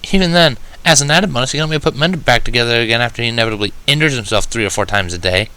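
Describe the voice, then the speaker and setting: A man's voice: medium pitch, very fast, sharp tone, smooth, close to an everyday voice, rough recording. A man voicing a bookish, kindly and wry female unicorn pony in a storybook reading, articulate and encouraging. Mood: dryly teasing.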